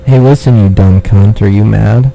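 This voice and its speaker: A man's voice: on the low side, normal speed, creaky, mellow. A man making a prank phone call, talking in a crude, taunting way and swearing, over a noisy phone line. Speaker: prank caller.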